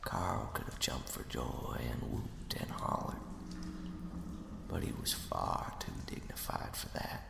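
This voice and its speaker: A man narrating a ghost story as ASMR in a hushed, slow, dramatic whisper with a breathy, gravelly edge. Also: a bass voice, very animated, background noise. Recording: echoey, long cavernous reverb.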